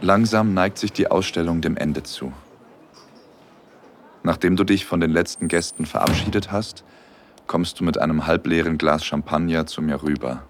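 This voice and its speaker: A man's voice: low voice, moderate pace, a little hiss or hum, close to an everyday voice. An actor playing a smitten, romantic young man, tender and intimate, in a first-person audio drama.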